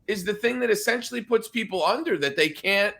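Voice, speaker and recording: A man's voice: high-pitched, lively intonation, fairly quick, creaky, fairly bright tone. A man hosting a podcast, chatting casually with a guest, often restarting and repeating himself and teasing playfully. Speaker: podcast host. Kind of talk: casual interview chat.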